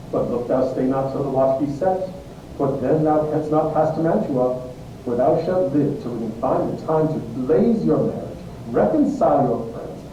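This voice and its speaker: Man acting stern friar, mellow, heavily echoing room. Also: on the high side. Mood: encouraging. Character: stern, counselling friar, exasperated yet caring. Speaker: amateur actor, English teacher. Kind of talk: Shakespeare stage-style verse reading. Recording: very echoey room, muffled, distant, faint hiss.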